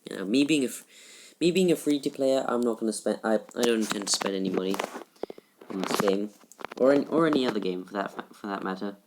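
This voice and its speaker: A teenage boy giving casual gameplay commentary in a halting, rambling way, full of restarts, recorded in a shared room at home. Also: on the low side, background noise. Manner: subdued, somewhat flat delivery. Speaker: gaming YouTuber.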